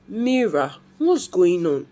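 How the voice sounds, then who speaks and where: A woman's voice: on the low side, big pitch swings, slow pace, warm tone. A woman voicing a reassuring, practical sister in a folktale, soothing and matter-of-fact. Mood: concerned. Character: reassuring, practical sister of a troubled young mother.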